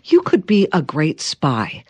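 Low-voiced woman interviewing, close dry sound. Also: huge pitch swings, takes it slowly, husky, no room sound. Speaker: podcast host and interviewer. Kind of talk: conversational interview.

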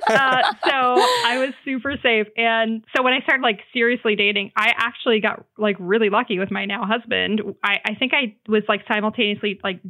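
A woman's voice: a little high, moderate pace, few pauses, pushed and bright, poor audio. A woman in her thirties chatting casually as a podcast guest, emphatic and joking about herself as she tells personal stories. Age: 30s. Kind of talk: casual chat, personal anecdotes.

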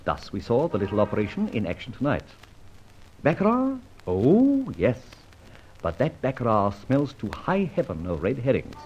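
An actor playing a suave, flippant gentleman adventurer in an old-time radio serial, with breezy old-fashioned slang. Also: medium pitch, wildly swooping pitch, normal speed, slightly rough. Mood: confident, scheming. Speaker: narrator and lead actor. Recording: muffled vintage radio recording, faint noise.

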